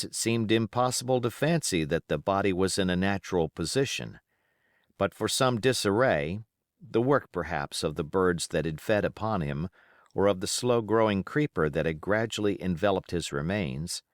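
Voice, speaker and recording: Male audiobook narrator, lowish voice, expressive intonation, literary reading, close dry recording. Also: dry recording.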